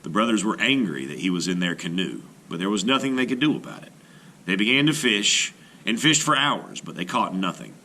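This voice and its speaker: Guy reading a kids legend aloud, lowish voice, really animated, dramatic phrasing. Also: fairly quick, slight background noise, low-quality recording. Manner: dramatic, carefully phrased storytelling. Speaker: storyteller. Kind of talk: expressive children's read-aloud narration.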